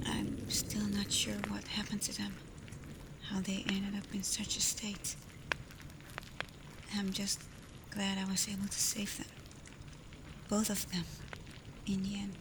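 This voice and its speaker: Woman doing a caring alpha werewolf lady, asmr, whispery n steady, soothing, heavy storm noise behind her. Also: mid-range voice, measured pace, pauses often, lightly acted. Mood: tender, wistful. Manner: soft, breathy, often near a whisper, soothing. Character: caring adult female alpha werewolf, gently protective, teasing. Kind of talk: ASMR roleplay, spoken intimately to the listener. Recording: loud storm ambience over the voice. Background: thunderstorm and rain ambience.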